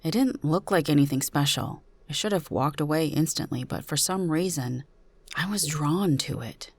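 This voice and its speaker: A woman with a low voice gives a dramatic reading of a suspense story. She narrates in the first person as the storyteller. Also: moderate pace, no room sound.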